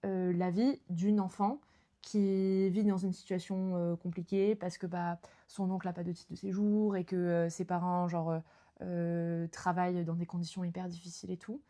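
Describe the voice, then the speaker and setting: A woman's voice: fairly low pitch, fairly even intonation, moderate pace, mellow. A young woman streamer chatting casually about books, with many fillers and restarts.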